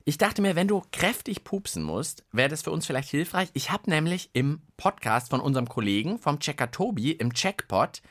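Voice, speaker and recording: Animated man co-hosting. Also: fairly high pitch, normal speed, few pauses. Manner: colloquial, animated, jokey. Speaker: co-host of children's science podcast. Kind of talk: casual playful chat.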